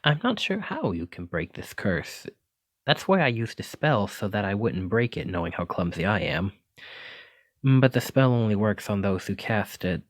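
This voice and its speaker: A man's voice: on the low side, big pitch swings, normal speed, no echo. A man voicing a clumsy, kindly wizard in an ASMR roleplay, courteous and apologetic, stammering when flustered. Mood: apologetic, worried. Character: clumsy, kindly wizard bookseller teaching magic. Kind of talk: ASMR roleplay addressed to listener.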